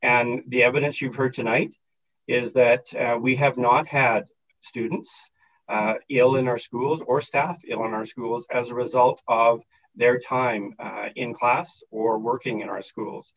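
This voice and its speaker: Measured official man, muffled call. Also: mid-range voice, steady tone, a bit bright. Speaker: school district official. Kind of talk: formal answer on a video call. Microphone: video call. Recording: dull lo-fi call audio.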